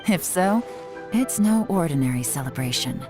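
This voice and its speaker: A woman's voice: on the low side, expressive, normal speed, over music, natural voice. An actress playing a shrewd, composed woman spymaster in a video game, giving orders with dry, teasing confidence. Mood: analytical. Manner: composed, commanding, dryly teasing. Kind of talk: English video game voice acting.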